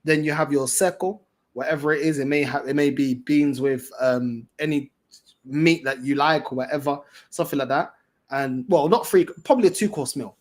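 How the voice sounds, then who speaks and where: A man's voice: on the high side, normal speed, darkish tone. A man with a London accent chatting casually as a livestream host, in a slangy, repetitive, emphatic way. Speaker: fan channel host.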